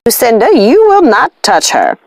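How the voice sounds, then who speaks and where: A woman's voice: a little high, huge pitch swings, moderate pace, no room sound, pitched above the performer's own voice. A woman voicing a protective, firm but caring nanny in an earnest, motherly storybook manner. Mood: stern.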